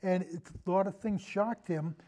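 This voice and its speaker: An older man with a notably high-pitched voice and a mellow, relaxed tone. He talks as a guest in a casual film discussion, wandering and halting as he goes. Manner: rambling, halting, trails into et cetera. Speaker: podcast guest, longtime radio show host.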